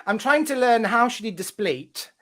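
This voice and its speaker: Gossipy animated host on a call. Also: male voice, very high-pitched, moderate pace, a crowd in the background. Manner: lively, animated, gossipy, with filler hesitations. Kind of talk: casual video call chat.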